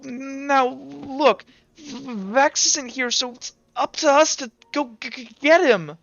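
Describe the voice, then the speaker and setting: A woman voicing a nervous teenage boy in a tabletop game, stuttering and halting as he urges action. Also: high-pitched, creaky, a bit bright, dull audio, pitched above the performer's own voice, heavily acted. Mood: urgent. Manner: halting, uneasy.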